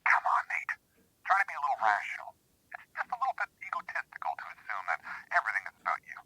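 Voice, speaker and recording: A man's voice: on the low side, wildly swooping pitch, fast, pushed and bright, husky, no echo, exaggerated voice, poor audio. A man acting as a skeptical, rational sheriff's office worker and concerned friend, speaking bluntly but caringly in a naturalistic horror audio drama. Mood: exasperated, rational.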